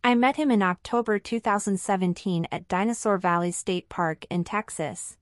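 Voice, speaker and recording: A woman's voice: mid-range voice, moderate pace, no echo, clean studio sound, lightly acted. A woman playing a polite married citizenship applicant in a scripted practice interview, answering formally and carefully in full sentences. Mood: polite, composed.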